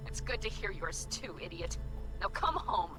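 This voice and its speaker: A woman's voice: medium pitch, sing-song, normal speed, loud music behind the voice, natural voice. An actress playing a blunt, exasperated, fiery woman who cares for her friend, in a video game. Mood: grudging fondness. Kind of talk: video game drama acting.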